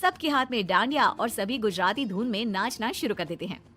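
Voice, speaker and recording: A woman narrating an animated moral story in an expressive storytelling manner. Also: a little high, brisk, soft background music.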